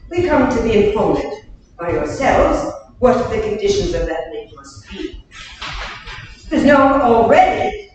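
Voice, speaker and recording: A woman playing a proud, stubborn French prince, formal and measured in a Shakespearean staged reading. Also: fairly high pitch. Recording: distant echoey hall recording, faint noise.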